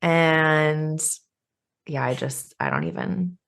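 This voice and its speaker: Bubbly woman in her thirties, lower voice. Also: sing-song. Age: 30s. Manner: bubbly, gushing, quick to laugh. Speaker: podcast co-host. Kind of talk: casual book chat.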